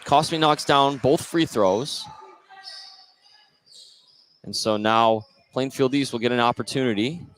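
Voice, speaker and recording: A man's voice: mid-range voice, slow, long pauses, a bit bright, slight background noise. A man doing live basketball radio play-by-play, narrating each play in short phrases. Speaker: play-by-play commentator.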